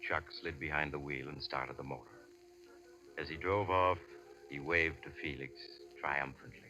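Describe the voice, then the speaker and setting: A man playing an ominous storyteller, narrating a 1940s radio crime drama in a measured, suspenseful manner. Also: rumbling low, steady tone, sharp tone, low-quality recording. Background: music under the voice.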